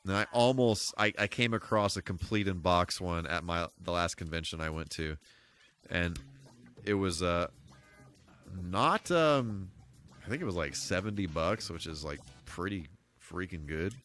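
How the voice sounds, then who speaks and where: A man with a low voice hosts a casual game live stream. He talks in a rambling, halting way, thinking aloud as he goes and using mild, folksy exclamations. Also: animated, a bit bright, faint music behind.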